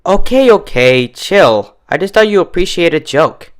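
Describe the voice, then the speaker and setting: A high, swooping and loud young pegasus mare played by a man, cocky and boastful as the cider takes hold. She is an excitable cartoon show-off who pushes every line. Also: moderate pace. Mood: excited, teasing. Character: brash, cocky young female cartoon pegasus getting drunk.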